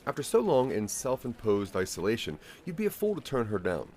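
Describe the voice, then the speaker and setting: A man narrating a scripted audio drama in the second person, with a measured storytelling delivery. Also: mid-range voice, wildly swooping pitch, darkish tone, a little hiss or hum.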